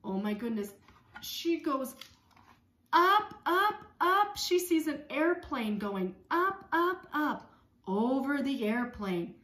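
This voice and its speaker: A woman in her thirties leading songs and story time for young children, speaking in simple, repetitive, expressive phrases. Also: high voice, takes it slowly, echoey room. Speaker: speech-language pathologist leading circle time. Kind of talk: children's songs and read-aloud lesson. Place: small room at home.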